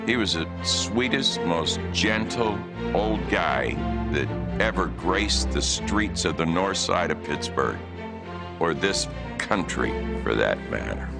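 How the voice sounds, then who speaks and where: A man's voice: low-pitched, very animated, slow, vocal fry. A man with an American accent talking effusively in an interview. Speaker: interviewee from a stage play. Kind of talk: interview talk. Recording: loud music behind the voice. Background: loud music.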